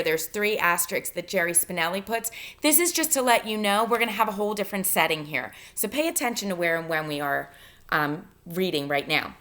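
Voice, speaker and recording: Bright-voiced woman teacher reading aloud. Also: mid-range voice. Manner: instructive, expressive reader. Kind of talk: read-aloud lesson, narration with spoken instructions.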